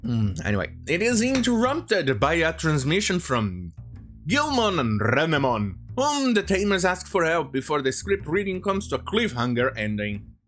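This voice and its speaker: An online commentator delivering an opinionated, sarcastic rant in a halting, sweary way, sometimes reading aloud. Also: mid-range voice, sing-song, a bit bright, soft background music.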